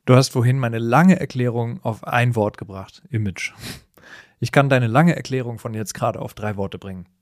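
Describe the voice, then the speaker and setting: A man's voice: medium pitch, moderate pace, no room sound, studio quality. A man co-hosting a casual podcast, talking in a playful and explanatory way. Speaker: podcast co-host.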